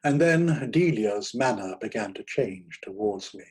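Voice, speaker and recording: A man narrating a literary short story in a theatrical, expressive manner. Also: fairly low pitch, unhurried, husky. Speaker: reader of short stories.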